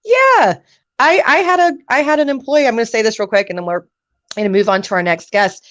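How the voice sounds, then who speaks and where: A woman's voice: fairly high pitch, lively intonation, a little fast, a bit bright, no room sound, highs cut off. A woman hosting a live career event over a video call, upbeat and laughing often. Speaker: host.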